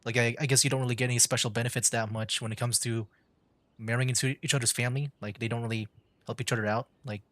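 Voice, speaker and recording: A man livestreaming a game with casual, informal commentary, thinking aloud in a halting way. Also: mid-range voice, fairly even intonation, fairly bright tone, no echo. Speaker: streamer. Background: game music.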